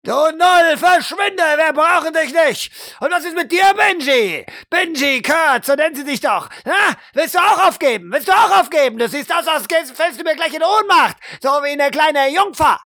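A man's voice: very high-pitched, animated, moderate pace, few pauses, pushed and bright, dry recording, studio quality, voice pushed up, heavily acted. A man voicing a brutal, contemptuous military drill instructor who barks taunts and insults in an audio role-play drama. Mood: taunting.

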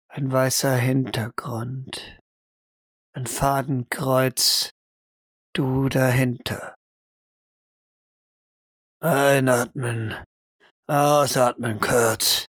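A man's voice: mid-range voice, fairly bright tone, slightly rough, no room sound, studio quality, full character voice. A man playing an intense military shooting instructor in an audio drama, talking in terse, commanding phrases. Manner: terse clipped commands, drawn out for emphasis.

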